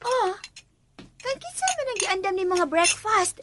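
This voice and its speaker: A woman's voice: very high voice, measured pace, over music. A woman playing a chatty, concerned servant in a radio drama, exclaiming and asking questions with a Cebuano Filipino accent, on a noisy track. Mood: teasing, surprised. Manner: exclaiming, inquisitive. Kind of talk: Philippine radio drama acting.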